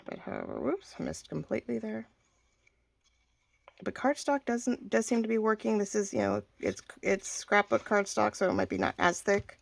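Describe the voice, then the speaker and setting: A woman hosting a casual crafting tutorial, chatty and thinking aloud as she works, slightly hoarse and often clearing her throat. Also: medium pitch, huge pitch swings, moderate pace, no echo. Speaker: craft video host.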